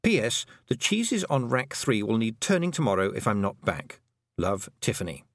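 A man's voice: fairly low pitch, very animated, moderate pace, dry recording, clean studio sound. A man voicing a practical, stern young farm girl who is a witch, with a haughty manner. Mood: hesitant.

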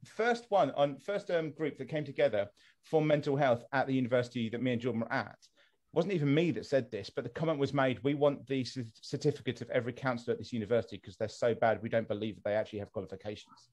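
A man from England on a podcast, criticising institutions in an animated, restarting way. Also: medium pitch, fast talker. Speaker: podcast co-host. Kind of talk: casual podcast discussion.

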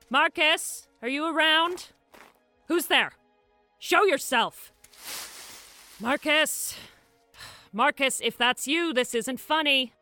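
A woman performs a confident detective in an audio drama. Her voice is sharp and forceful, with a brisk, take-charge delivery over a light music bed. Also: high-pitched, no room sound, heavily acted. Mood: alert, commanding. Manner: brisk, commanding.

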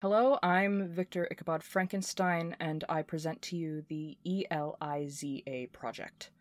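A woman playing a scrappy, intense inventor recording a personal log in an audio drama, talking in a halting, volatile way. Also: low-pitched, steady tone, no room sound, clean studio sound, natural voice. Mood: nervous, self-conscious. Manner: swearing, halting, swinging from muttering to fervent.

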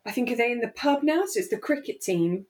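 A woman's voice: fairly high pitch, animated, fast talker, a little mellow. A woman co-hosting a podcast, talking casually and reflectively as she explains her take on a radio drama. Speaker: podcast co-host.

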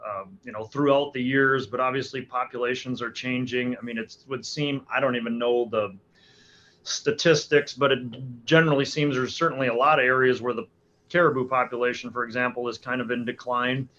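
A man's voice: medium pitch, fairly even intonation, a little fast, fairly bright tone. A man in his forties, a hunting guide, answering interview questions casually with frequent restarts and fillers. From Alaska. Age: 40s. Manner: hesitant, many restarts and fillers. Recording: dull lo-fi sound.

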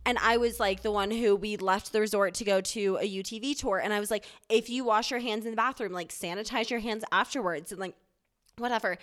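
A woman's voice: mid-range voice, steady tone, brisk, fairly bright tone. A young woman co-hosting a podcast, chatting casually and telling stories full of "like" and "um" while she is sick and congested. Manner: chatty, storytelling with lots of likes and ums. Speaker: podcast co-host. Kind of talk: casual chat.

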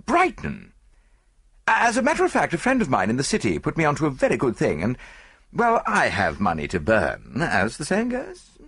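Good-natured English gentleman sidekick, played by a male narrator: breezy, eager, raised animated voice, storybook mystery. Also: mid-range voice, moderate pace, slightly rough, voice pushed up, full character voice. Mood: cheerful.

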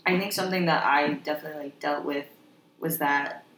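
A young woman with a low voice, chatting easily on a casual podcast and backing her co-host with quick agreements. Her voice rings in an echoey room with faint background hiss. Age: young adult. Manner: relaxed, chatty, frequent agreeing fillers.

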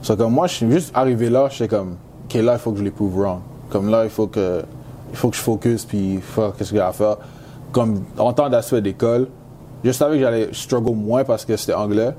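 A young Quebec athlete with a fairly low, mellow voice talks casually as an interview guest. His first language is English, and he slips English slang and phrases into his French. There is some noise behind him. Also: fairly quick. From Montreal, Quebec, Canada. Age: young adult. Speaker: university football player, interview guest.

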